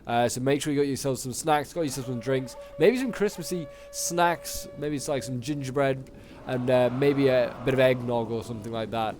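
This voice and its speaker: A man with a sore throat, slightly hoarse, giving casual, rambling commentary on a strategy game battle with lots of fillers. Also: medium pitch, normal speed, over music. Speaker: gaming YouTuber casting a multiplayer battle.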